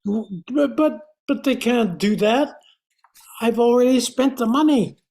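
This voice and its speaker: A man playing a desperate, menacing blocked novelist in an amateur radio play, pleading haltingly. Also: very high voice, darkish tone, full character voice.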